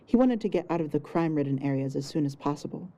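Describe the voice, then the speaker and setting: A woman's voice: deep voice, animated, fast, dark tone. A woman reading scripted fiction narration as the storyteller of an audio story.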